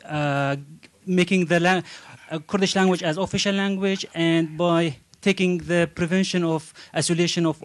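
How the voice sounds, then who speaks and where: A man putting questions to a panel from the audience. His voice is high and dry, and he stops often on "uh" while feeling his way through each sentence. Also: no room sound. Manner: halting, many "uh" pauses.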